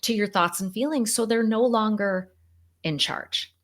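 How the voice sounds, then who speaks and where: A middle-aged woman psychologist, a podcast guest, explaining ideas in an animated, conversational way, full of fillers like "like" and "you know.". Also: medium pitch, a little slow.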